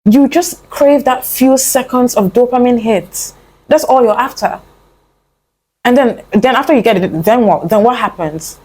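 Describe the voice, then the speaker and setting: A woman's voice: fairly high pitch, a little slow, darkish tone, husky, rough recording. Earnest young woman giving pep talk. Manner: earnest, coaxing, calls the listener "my love" and "honey"; rambling with frequent fillers and restarts. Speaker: motivational vlogger. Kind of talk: casual motivational talk to camera.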